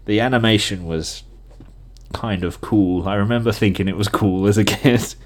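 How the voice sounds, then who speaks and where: A young British man with a fairly low-pitched voice hosts a solo podcast. He rambles casually from one memory to the next, informal and enthusiastic, thinking out loud as he goes. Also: steady tone, normal speed. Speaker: podcast host.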